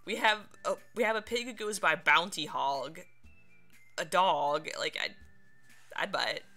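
A game streamer giving casual, playful let's play commentary with lively reactions. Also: medium pitch, unhurried. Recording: game music behind.

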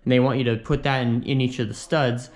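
A young man, a handyman, casually explaining a door install as he works in a small room, relaxed and chatty. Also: mid-range voice, fairly quick. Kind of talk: casual work narration. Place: small indoor bedroom.

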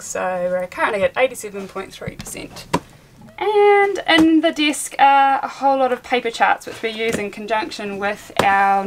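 A young woman from New Zealand chattily showing the cabin of her sailboat, pointing things out as she walks through. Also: medium pitch. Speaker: sailing vlogger giving a boat tour. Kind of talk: casual walkthrough tour. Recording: camera audio with clear background noise.

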